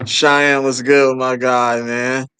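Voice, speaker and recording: Casting: man, slow and blunt, bright tense tone, dry sound. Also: mid-range voice, little pitch movement, smooth, no room sound. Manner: blunt, short remarks. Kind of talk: casual chat.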